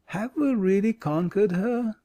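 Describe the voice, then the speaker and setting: A man voicing a calm, thoughtful scarecrow in a storybook reading. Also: high voice, measured pace, pitched above the performer's own voice. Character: calm straw-stuffed man, gentle thinker.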